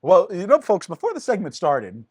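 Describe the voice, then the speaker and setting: A man's voice: high voice, wildly swooping pitch, moderate pace, husky, dry recording, studio quality. A man hosting a local talk show, chatting playfully and jokily with a guest outdoors on a summer day. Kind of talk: lively casual chat on a food segment.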